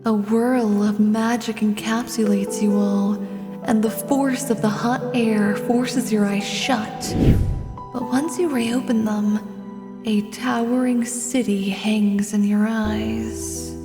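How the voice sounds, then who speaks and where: A woman's voice: a little high, steady tone, slow pace, music in the background. A woman storyteller and voice actor who narrates fantasy and chats to her listeners, recorded close up. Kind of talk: scripted fantasy narration and casual chat to listeners.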